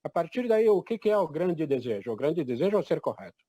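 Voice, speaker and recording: Older man from Egypt, mellow tone, dry sound, emphatic declarative delivery. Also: on the high side, big pitch swings, fast, dry recording. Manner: emphatic, declarative, repeats "definitivamente". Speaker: event participant. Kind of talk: emphatic personal testimony.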